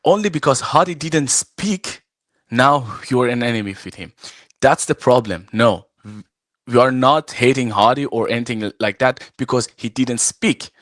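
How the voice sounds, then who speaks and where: A man from Iran whose first language is Farsi, talking casually and haltingly on a video call, full of fillers and restarts. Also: medium pitch, a bit bright, no echo, clean studio sound. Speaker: guest, arm wrestler and referee. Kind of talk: casual live-stream chat. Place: at home, arm wrestling table behind.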